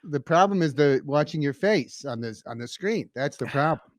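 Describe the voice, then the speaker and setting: A man's voice: on the high side, lively intonation, normal speed, dry recording. A man co-hosting a comedy podcast in casual banter, with dry, joking asides.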